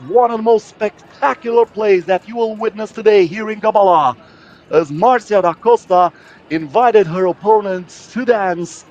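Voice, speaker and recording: A man's voice: high-pitched, a little mellow, dull audio. A man giving live play-by-play commentary on a basketball game as a sports commentator.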